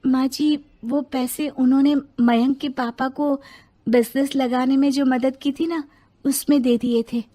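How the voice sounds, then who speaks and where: A woman's voice: high-pitched, steady tone, a little slow, a little hiss or hum. A woman voicing a meek, patient young wife in a story, polite, sweet and conciliatory. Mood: apologetic. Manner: soft-spoken, polite, conciliatory.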